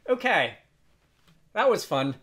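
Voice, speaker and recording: A man's voice: high voice, big pitch swings, slow pace, fairly bright tone. A man presenting an unscripted game development tutorial in a casual, hesitant, rambling and self-deprecating way.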